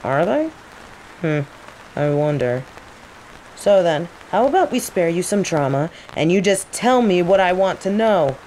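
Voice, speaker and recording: A woman's voice: lowish voice, a little slow, rough recording. A woman playing a teasing rival mage who interrogates a captive with casual, coaxing menace in an audio roleplay. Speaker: voice actor in scripted audio roleplay. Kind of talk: intimate scripted audio roleplay acting. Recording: steady rain noise behind the voice. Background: rain.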